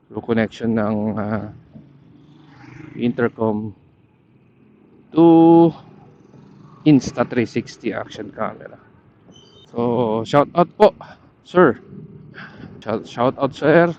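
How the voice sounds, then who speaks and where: A Filipino man talks at a slow, halting pace, like a casual ride vlog. His voice comes through a dull, muffled helmet intercom mic. Faint vehicle noise runs behind him outdoors. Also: mid-range voice, darkish tone. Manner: halting, pausing to search for words. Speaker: motorcycle ride vlogger. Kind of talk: casual vlog talk while riding. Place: outdoors, riding a motorcycle. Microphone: helmet intercom headset.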